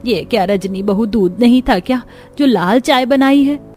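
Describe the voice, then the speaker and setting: A woman's voice: on the high side, measured pace, warm tone, soft background music, lightly acted. A woman as a plaintive old mother-in-law. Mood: complaining.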